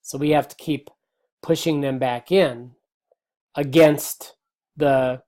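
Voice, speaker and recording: Slightly hoarse man lecturing on slides. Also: mid-range voice, slow. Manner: relaxed, explanatory, many fillers and restarts. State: slightly hoarse, clearing throat. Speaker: biology teacher.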